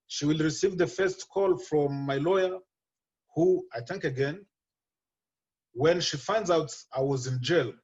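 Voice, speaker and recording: A man from Africa, speaking halting non-native English with restarts, reads out his personal account of detention on a video call. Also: medium pitch, darkish tone, breathy edge, dull audio. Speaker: panelist sharing detention story.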